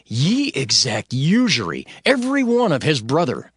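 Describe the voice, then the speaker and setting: A man playing an earnest, stern governor, narrating his own account in a solemn, measured dramatized scripture reading. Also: a little high, huge pitch swings, fairly bright tone, breathy edge, exaggerated voice. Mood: stern rebuke. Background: background music.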